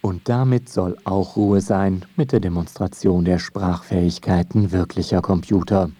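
A man narrating a scripted radio feature in a measured, wry manner. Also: low voice, fairly even intonation, mellow. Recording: studio narration over music bed.

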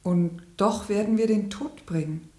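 An actress playing a gentle but serious woman revolutionary in a German radio play, speaking in a soothing, measured way. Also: medium pitch, natural voice. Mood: grave. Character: gentle, grave female revolutionary comrade. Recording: loud music under the dialogue.

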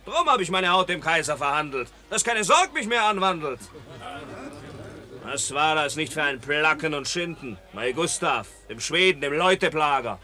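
A man's voice: on the high side, sing-song, moderate pace, pushed and bright, full character voice. A man in a 1950s radio play acting a carefree, swaggering rifleman who declaims boastful, mocking rhymed verse. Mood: boastful, defiant.

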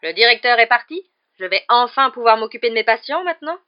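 Une femme qui interprète dans un livre audio une infirmière scolaire autoritaire, indignée et irritable. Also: female voice, a little high, animated, fast, lo-fi sound, pitched above the performer's own voice, close to an everyday voice. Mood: indignant. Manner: scolding, irritable. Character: bossy, indignant, exasperated female school nurse. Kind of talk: acted audiobook dialogue.

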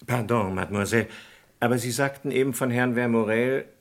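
A man's voice: medium pitch, a little fast, lightly acted. An actor plays a male investigator in a radio mystery. His voice sits in the middle register. He questions politely and methodically, probing each detail. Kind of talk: German crime radio play acting.

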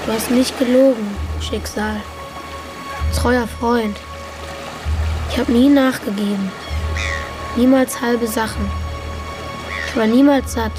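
An actress playing a driven, defiant character, declaiming insistent short phrases in a radio drama. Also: female voice, fairly high pitch, fairly even intonation, slow, long pauses, rough recording. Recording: music behind.

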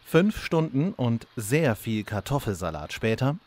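A man's voice: medium pitch, big pitch swings, a little slow, a little mellow, a little hiss or hum. A man narrating a fantasy parody audio play as a solemn storyteller.

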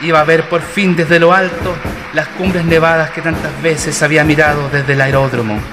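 A proud young military pilot acted by a man from a historical radio play. His voice is high for a man and sounds heroic, with music playing behind it. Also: steady tone, moderate pace, natural voice. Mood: calm, proud. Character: young Chilean military aviator, proud, triumphant hero. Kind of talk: radio drama acting.

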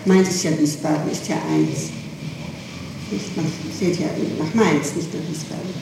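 A woman answering questions in an interrogation, haltingly and insistently, often repeating and correcting herself over small details. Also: sits low. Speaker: person under police questioning. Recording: echoey, distant, noisy old tape.

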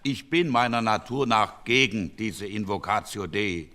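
Wry, bright-voiced male parliamentary delegate. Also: fairly low pitch, expressive, unhurried. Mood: wry, sceptical. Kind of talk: German radio docudrama acting.

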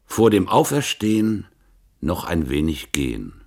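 Deep, very slow, declamatory mock-solemn announcer. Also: male voice, very animated, noisy background, full character voice. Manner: drawn-out, declamatory delivery. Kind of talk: experimental radio play acting.

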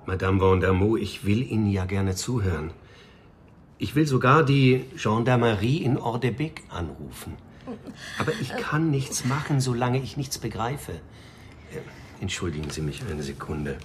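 An actor playing a calm, patient middle-aged police commissioner in a radio crime drama, with an understated, halting, matter-of-fact manner. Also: male voice, fairly low pitch, noisy background, natural voice. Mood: patient, puzzled.

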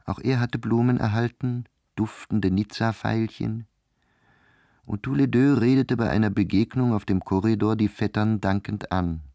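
A man narrating a literary radio drama in measured, formal storytelling. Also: on the low side, fairly even intonation, mellow, dry recording, studio quality.

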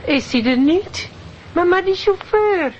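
An actress in a radio play, playing a naive, hopeful, starry-eyed woman with a husky voice and a hesitant, earnest manner. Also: very high voice, expressive, slow, background noise, full character voice. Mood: anxious, disappointed. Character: naive, hopeful loyal female customer, nervous and starry-eyed. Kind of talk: naturalistic radio drama acting. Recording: slightly dull radio drama recording.

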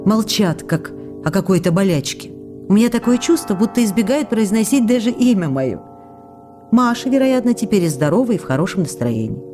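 A woman acts out a young wife reading her love letters in a radio play. Her voice sits a little low and is warm and mellow, over faint music. She is tender, anxious and confiding, full of feeling for her husband. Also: lightly acted. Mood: hurt, uneasy. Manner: intimate, emotional, confiding.

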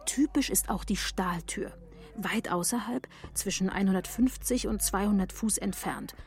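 An actress playing an earnest, matter-of-fact researcher in a German radio play, explaining clearly. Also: female voice, medium pitch, moderate pace, natural voice.

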